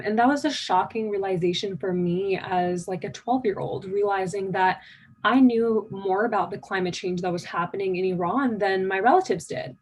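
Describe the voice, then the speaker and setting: A woman's voice: medium pitch, slight background noise, low-quality recording. A nineteen-year-old woman from the United States giving a conversational conference keynote, eager and full of fillers. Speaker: keynote speaker.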